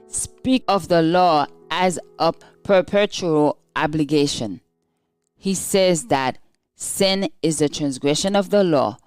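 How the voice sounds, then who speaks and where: A woman with a clear, resonant and slightly low voice reads a scripted devotional. Her delivery is slow, measured and solemn, with music playing behind her. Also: a little mellow. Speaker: devotional reader.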